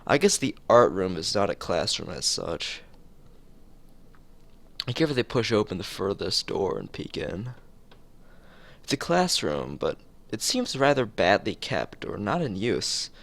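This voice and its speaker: A man's voice: on the low side, big pitch swings, unhurried, creaky, a bit bright. A man reading visual novel text aloud as a streamer, sometimes stumbling and rereading phrases.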